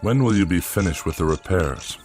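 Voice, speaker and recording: An actor playing a fussy, indignant middle-aged gentleman writer in radio drama style, with haughty, florid, formal diction. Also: low voice, animated, a little fast, faint music behind. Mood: condescending. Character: pompous, fussy, indignant older gentleman writer who hates machines. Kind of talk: classic radio drama acting.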